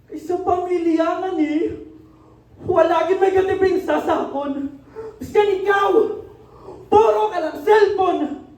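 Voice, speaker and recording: Resentful student son, Filipino, radio drama, acted out; unhurried delivery, far from the mic. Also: extremely high pitch, steady tone, a little mellow. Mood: resentful, angry. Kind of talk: amateur radio drama acting. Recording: echoey room, distant microphone.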